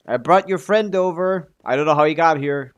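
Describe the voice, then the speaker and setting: A man's voice: a little high, moderate pace, clear, resonant tone. A man streaming a video game, chatting casually and thinking aloud in a hesitant, trailing way. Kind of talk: casual livestream gaming commentary and chat.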